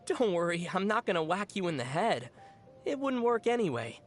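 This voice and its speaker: An actor plays a calm young game adventurer who explains things in a measured way and teases with a straight face. The voice sits mid-range and moves with lively intonation. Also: mid-range voice, faint music behind, lightly acted. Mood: patient, wry. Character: calm young traveler with a dry, teasing wit. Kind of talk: video game dialogue.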